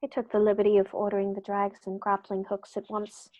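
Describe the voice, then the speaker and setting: A woman playing a calm, clever male detective in an old-style radio play, measured and precise as she works things out aloud. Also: medium pitch, lightly acted, rough recording. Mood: calm, deductive. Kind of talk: 1930s radio play acting.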